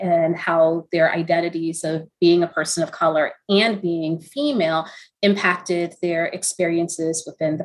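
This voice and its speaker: A middle-aged woman with a slightly low voice speaks on a panel in a measured, articulate academic manner. Her phrasing is careful and deliberate. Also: poor audio. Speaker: panelist, professor. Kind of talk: panel discussion.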